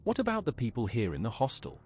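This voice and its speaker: A man's voice: mid-range voice, huge pitch swings, fast talker, a little mellow, slightly rough, full character voice. A man voicing a plain, direct male game hero who speaks in short questions and statements, as AI-voiced game dialogue.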